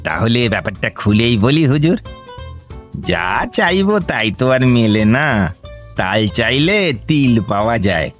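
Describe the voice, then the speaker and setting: An actor playing a wily village grain trader who flatters and pleads long-windedly in a radio comedy. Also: male voice, mid-range voice, a little slow, faint music behind. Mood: sly, humble. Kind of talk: Bengali radio comedy drama acting.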